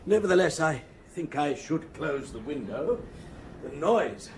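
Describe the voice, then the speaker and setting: An actor playing a fussy, discreet head clerk, formal and nervously deferential, with hesitant, precise phrasing in a radio drama. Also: male voice, a little high, sing-song, a little slow, very noisy. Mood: prim, chatty.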